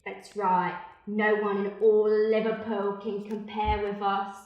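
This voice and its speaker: A woman's voice: mid-range voice, flat delivery, takes it slowly, dull audio, lightly acted. A woman playing a bossy, wry mother who runs a small-time criminal gang, in an improvised comedy radio play. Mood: brash, scheming. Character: brash scheming British mother, small-time criminal ringleader. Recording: very echoey room.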